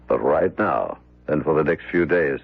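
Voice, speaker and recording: An actor playing a formal, ominous manservant in an old radio drama, speaking in measured phrases. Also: male voice, extremely low pitch, lively intonation, vocal fry, breathy edge, heavily acted. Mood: grave warning. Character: grave, formal manservant, prophetic and accusing. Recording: muffled, narrow-band old radio broadcast.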